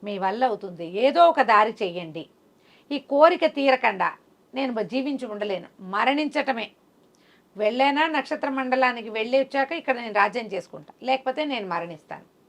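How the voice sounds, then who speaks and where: A woman's voice: fairly high pitch, animated, moderate pace. Woman as an insistent, pleading young prince. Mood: desperate pleading. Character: determined young prince, pleading and stubborn, in a folk tale.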